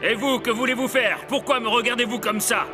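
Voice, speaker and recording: Man as an indignant high-voiced Egyptian. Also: steady tone, fairly quick. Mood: indignant alarm. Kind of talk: dramatic cartoon voice acting. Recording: music under the voice.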